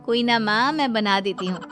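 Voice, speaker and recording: A woman's voice: on the high side, fairly bright tone. A woman voicing a teasing, outspoken young adult daughter in a cartoon. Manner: teasing, mocking.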